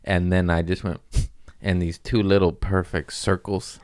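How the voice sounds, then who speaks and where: A man's voice: deep voice, little pitch movement, unhurried, a little mellow, smooth, no echo, clean studio sound. An American man in his thirties chatting casually on a comedy podcast in a loose, slangy, rambling way, joking as he goes.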